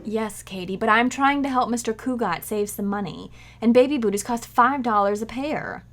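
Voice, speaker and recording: Woman playing a breezy scatterbrained housewife, higher voice. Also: normal speed, fairly bright tone, lightly acted. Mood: breezy, innocent. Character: Liz, scatterbrained cheerful young housewife, breezily evasive. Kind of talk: 1940s radio comedy acting.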